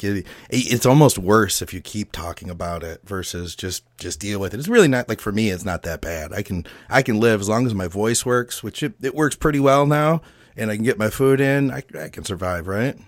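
A man hosting a podcast in casual chat, relaxed and repeating words as he starts. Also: lowish voice, a little fast, no echo, studio quality. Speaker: podcast host.

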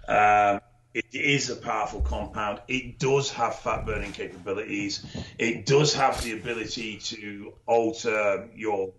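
A man co-hosting a podcast, explaining things in a knowledgeable, measured way with dry humour and frequent ums. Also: on the low side, steady tone, fairly bright tone, a little hiss or hum. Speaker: expert co-host. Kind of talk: casual podcast chat, explaining. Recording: dull lo-fi audio.

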